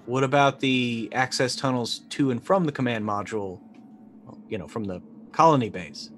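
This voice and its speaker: A man's voice: mid-range voice, normal speed, fairly bright tone, faint music behind, close to an everyday voice. Man acting wry, sarcastic crash survivor. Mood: probing, wry. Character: weary, sarcastic crash survivor questioning the ship's computer.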